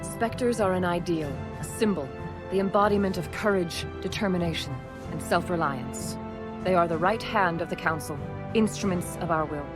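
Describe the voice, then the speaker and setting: Game councilor, female alien played by a woman: formal, measured, composed, lower register. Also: music in the background, close to an everyday voice. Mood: formal, solemn. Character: dignified female alien councilor, calm and diplomatic. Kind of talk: video game cutscene acting.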